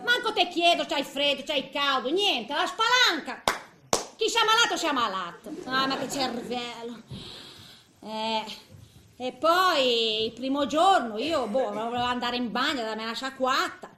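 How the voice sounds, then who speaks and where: A woman's voice: high-pitched, lively intonation, unhurried, bright, forceful tone, exaggerated voice. An actress playing a cantankerous eighty-year-old woman who grumbles in colloquial, speech in a comic stage monologue. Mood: indignant grumbling. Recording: roomy echo, faint background noise.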